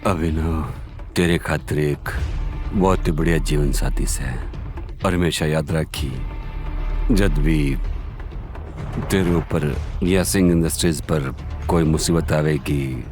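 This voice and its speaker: Older haryanvi boss type for audio drama, real deep voice, polite, music under it. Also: male voice, slow, pauses often. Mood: solemn, affectionate. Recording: music behind the voice.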